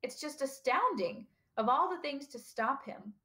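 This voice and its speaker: A woman's voice: fairly high pitch, animated, normal speed, fairly bright tone, voice pushed up. Woman acting a stern, emphatic older female professor. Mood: shaken, astounded. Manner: clipped, emphatic, impatient. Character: stern older female professor, brisk, indignant, easily ruffled. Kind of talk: audiobook character dialogue.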